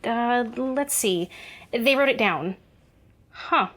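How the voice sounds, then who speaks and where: A woman's voice: a little high, expressive, slow pace, a bit bright. A woman playing a reluctant, sardonic grown daughter in an audio drama, talking in a dry, grudging way. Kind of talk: naturalistic audio drama acting.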